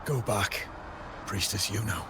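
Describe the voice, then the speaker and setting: Through very loud ambient noise, a doomed game soldier with a low voice. He sounds noble, formal and solemn, dutiful to the last. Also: a little slow. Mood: urgent. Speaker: video game voice actor. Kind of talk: dramatic game dialogue.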